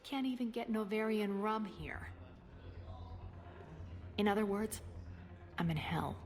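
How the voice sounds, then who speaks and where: A woman's voice: medium pitch, animated, takes it slowly. An actress playing a cynical, imperious female alien crime boss in a video game, dry and commanding. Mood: bitter, sardonic. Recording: long reverb, music behind. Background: prominent music.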